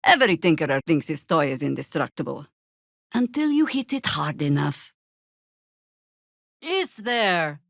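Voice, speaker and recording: An actress playing a seasoned, sardonic older druid adventurer in a video game, with dry, wry and deliberate formal phrasing. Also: female voice, deep voice, sing-song, vocal fry, no room sound, heavily acted. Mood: dry sarcasm. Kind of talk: video game character acting.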